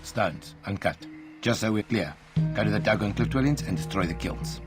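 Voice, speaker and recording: An actor playing a tough, shrewd mercenary arms trader in a video game, informal and clipped. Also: male voice, lowish voice, expressive, moderate pace, lightly acted. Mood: gruffly approving. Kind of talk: video game cutscene acting. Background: music.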